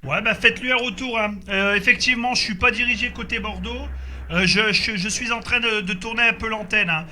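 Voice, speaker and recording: A man's voice: high voice, steady tone, a little fast, noisy background. A man chatting casually as a radio operator during a group contact, speaking hesitantly and repeating his confirmations.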